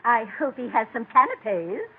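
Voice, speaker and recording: A woman's voice: fairly high pitch, very animated, a little slow, narrow, tinny audio. An actress playing a refined, coy society lady with an affected, genteel way of talking in an old radio comedy. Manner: affected, genteel, repeats herself emphatically. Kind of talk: 1940s radio comedy acting. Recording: thin, noisy old radio recording.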